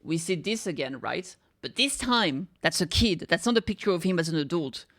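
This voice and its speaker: A woman's voice: on the low side, lively intonation, normal speed. A woman giving casual reaction commentary, thinking aloud and often checking for agreement with little tag questions. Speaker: reaction video host.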